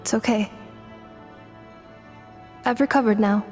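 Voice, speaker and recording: Composed robot girl for a game, formal and precise, on the high side, music behind. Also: fairly even intonation, a little mellow. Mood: calm, resolute. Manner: measured, formal, precise speech. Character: earnest, composed robot girl searching for belonging. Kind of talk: video game voice acting.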